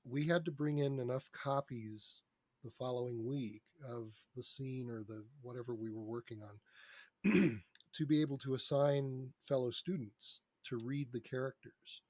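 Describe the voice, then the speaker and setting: A man's voice: mid-range voice, fairly even intonation, unhurried, a little mellow, no room sound. Older man in a dry, close recording. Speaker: podcast guest, screenwriter and radio drama producer. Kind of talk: conversational interview, anecdotes. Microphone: close mic on a remote podcast recording.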